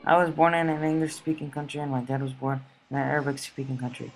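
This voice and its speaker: A teenage boy from the United States reading his school essay aloud in a steady, plain way. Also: on the high side, fast talker, faint music behind, rough recording. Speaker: student.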